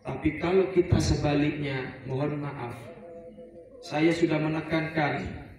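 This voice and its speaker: A man from Surabaya preaching a relaxed Eid sermon to a housing community, rambling at times and mixing in Arabic prayer phrases. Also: a little high, measured pace. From Surabaya, Indonesia. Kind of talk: Eid sermon to a residential congregation. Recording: very echoey, heard from a distance over a PA.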